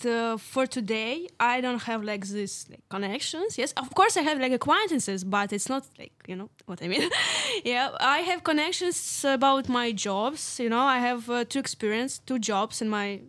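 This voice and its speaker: A young woman speaking English as a non-native speaker in a casual chat, halting and searching for words, with frequent giggles. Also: fairly high pitch, lively intonation, fairly bright tone. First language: not English. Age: young adult.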